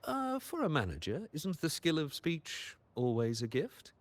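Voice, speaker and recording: An actor voicing a sly, money-loving manager in a video game, with theatrical, mocking formality and a condescending air. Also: male voice, a little high, huge pitch swings, unhurried. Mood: mocking, suave.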